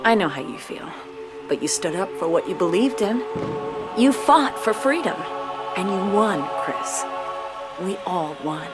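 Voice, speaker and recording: An actress playing an earnest, idealistic and caring resistance comrade in a video game cutscene. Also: female voice, mid-range voice, lively intonation, unhurried, over loud music, natural voice. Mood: tender, earnest.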